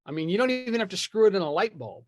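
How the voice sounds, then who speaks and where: A man's voice: high voice, animated, very fast, no echo, clean studio sound. A man in a casual podcast chat, opinionated and rambling as he thinks aloud. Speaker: podcast speaker discussing film franchises.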